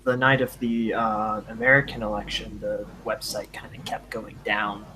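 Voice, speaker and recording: A man's voice: fairly low pitch, flat delivery, moderate pace, background noise. A man who is a guest on a voice chat, telling a story in an easygoing way. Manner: easygoing, storytelling, laughs now and then. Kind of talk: casual voice chat.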